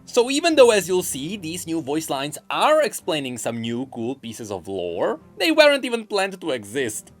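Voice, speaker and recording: A male YouTuber explains game lore in a fairly high voice with very lively swings of pitch. His delivery is scripted and emphatic, with light music behind him. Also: moderate pace, hardly any pauses. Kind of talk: scripted commentary on game voice lines.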